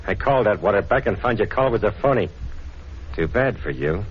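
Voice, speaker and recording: A man's voice: on the low side, animated, a little fast, husky. A man playing a smug, menacing gangster with a tough, slangy, taunting delivery in a 1940s radio drama. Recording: dull, noisy 1940s radio recording.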